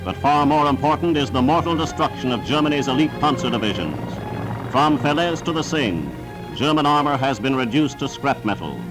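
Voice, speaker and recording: A man's voice: medium pitch, expressive, a little fast, over music, rough recording. A man narrating a scripted wartime newsreel in an emphatic, declamatory announcer's manner, on a vintage 1940s soundtrack.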